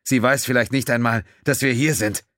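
A man's voice: medium pitch, steady tone, normal speed, a bit bright, dry recording, clean studio sound, pitched above the performer's own voice, lightly acted. A man voicing a desperate, injured male father who pleads urgently for help. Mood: pleading.

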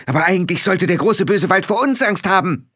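A man's voice: sits high, lively intonation, fairly quick, a bit bright, pitched above the performer's own voice. A man reading a storybook aloud, voicing a headstrong young girl witch who fires off indignant questions. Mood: defiant.

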